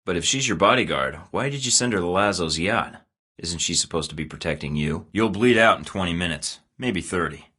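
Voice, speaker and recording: A man's voice: low-pitched, fairly bright tone, breathy edge. An actor playing a cool, sardonic male secret agent in a video game, with a dry, clipped delivery. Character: cool, wry male American spy in his 30s.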